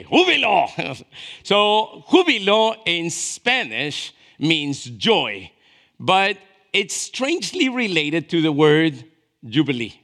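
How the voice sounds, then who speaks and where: A pastor in his fifties with a notably high voice for a man. He preaches in a conversational, storytelling way, checking in with short questions and moving from quiet asides to raised, dramatic emphasis. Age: 50s.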